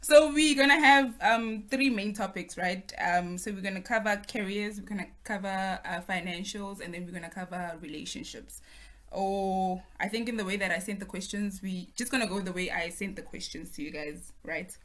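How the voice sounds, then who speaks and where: A woman in her twenties hosts a relaxed group chat over a video call, laying things out in an organized, friendly way. Her voice is bright and her pitch sits in the middle. She sounds like she is talking into a laptop mic from home. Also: moderate pace. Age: 20s. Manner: friendly, organized, explains step by step. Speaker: host. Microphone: laptop or phone on video call.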